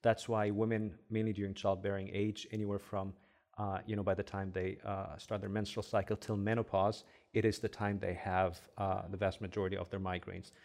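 A young man from Egypt whose first language is Arabic, a headache doctor explaining in a relaxed podcast interview with many "uh" fillers. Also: on the low side, brisk, creaky. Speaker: headache specialist doctor, guest.

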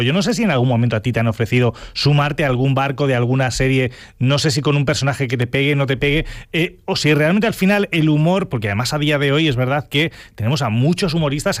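A man hosts a radio show, firing off long questions at a quick clip. His voice is close and dry, with no room sound. His pitch is ordinary for a man. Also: no echo. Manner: brisk, talkative, friendly questions. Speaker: radio host.

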